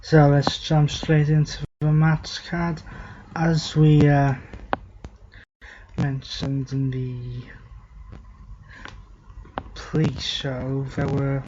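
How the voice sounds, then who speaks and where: A man co-hosting a casual wrestling talk show, relaxed and unhurried, with filler pauses. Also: fairly high pitch. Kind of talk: casual chat. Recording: dull lo-fi sound with faint hiss.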